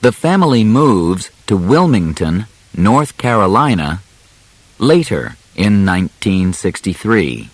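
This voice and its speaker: A man narrating an audiobook biography from a script, phrasing deliberately in short, separated chunks. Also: low voice, no echo.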